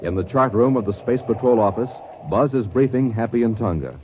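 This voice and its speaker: A man's voice: medium pitch, a little fast, slight background noise. A man announcing in emphatic, dramatic 1950s radio style, with punchy salesmanship, on a muffled old recording. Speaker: announcer, narrator and ad pitchman.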